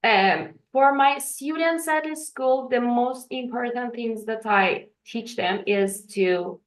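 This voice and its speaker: A woman's voice: on the high side, slow pace. A woman, a Farsi speaker, who speaks English hesitantly with many fillers as an interview guest. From Iran. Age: 40s. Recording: echoey room.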